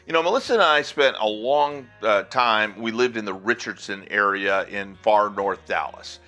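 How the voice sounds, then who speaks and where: Older American man, bright low-pitched voice. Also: moderate pace, faint music behind. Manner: conversational, folksy, rambling with asides. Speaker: podcast host. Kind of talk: casual history segment to listeners.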